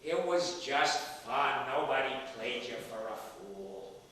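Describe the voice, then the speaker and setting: A man acting as an elderly father in a stage play, roguish, stubborn and full of affection. His voice is bright and very animated as he pleads and persuades. It is heard from far off in a reverberant theatre. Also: mid-range voice, takes it slowly. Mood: defensive. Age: older. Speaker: stage actor. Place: theatre stage, recorded from the audience area. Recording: echoey theatre hall, distant mic, faint noise.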